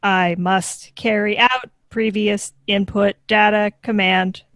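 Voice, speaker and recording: A woman's voice: medium pitch, steady tone, slow, a bit bright, no room sound, clean studio sound. A woman playing an obedient, emotionless child android in a sitcom table read, with a stilted, literal, mechanical delivery. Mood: flat, obedient.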